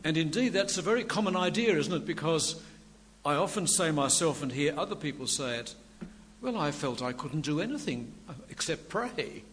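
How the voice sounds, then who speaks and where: An older man preaching a sermon with a quiet voice and dry humour. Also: a little high, lively intonation, normal speed. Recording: long hall reverb, faint room noise.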